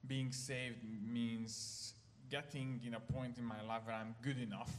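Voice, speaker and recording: A man's voice: mid-range voice, flat delivery, a little slow. Hungarian-accented man in echoey hall. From Ukraine. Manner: hesitant, many fillers and restarts. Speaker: guest speaker. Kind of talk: church testimony talk. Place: church hall.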